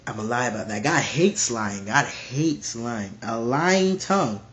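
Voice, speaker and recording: A man with a stuffy nose casually reading Bible verses aloud and explaining them in slangy, animated commentary. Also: medium pitch, unhurried. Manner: animated, slangy, acts out voices.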